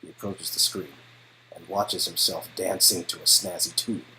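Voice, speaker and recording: A man's voice: deep voice, fairly even intonation, moderate pace, bright, forceful tone, a little hiss or hum, rough recording. A man reading interactive game narration aloud in a scripted, second-person storytelling style.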